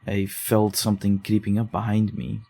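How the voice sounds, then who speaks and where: A man voicing a rattled young man in a psychological horror audio drama, speaking in a lowish, mellow voice that turns defensive and uneasy as he recounts what happened. He talks quickly when he gets nervous. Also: completely flat delivery, vocal fry. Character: shaken young man investigating case files. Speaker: narrator and lead character.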